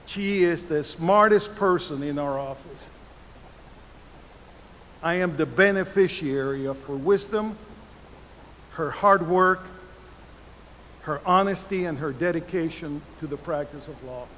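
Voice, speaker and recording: Cuban-born older man, slow arena oratory. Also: fairly high pitch, a bit bright, slight background noise. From Cuba. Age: 70s. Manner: slow, deliberate oratory with emphatic pauses. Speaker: commencement speaker. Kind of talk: commencement speech. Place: large university arena. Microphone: podium microphone. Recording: reverberant arena sound.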